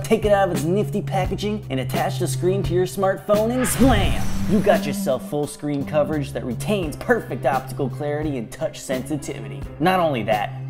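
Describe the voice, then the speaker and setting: A woman delivers a punchy, informal commercial voice-over. Her voice sits on the low side and swings in a very lively way over clearly audible music. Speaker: advertisement announcer. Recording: prominent music bed under voice.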